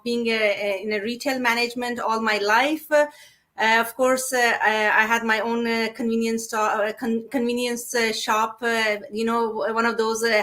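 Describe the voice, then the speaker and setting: Halting woman host, many fillers. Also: a little high, bright, forceful tone. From moved to Canada at seventeen. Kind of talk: casual chat.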